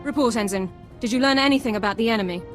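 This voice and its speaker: A woman's voice: fairly high pitch, racing, natural voice. An actress playing a strict, formal female sergeant who speaks in clipped, precise military terms. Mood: commanding. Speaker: squad sergeant. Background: music.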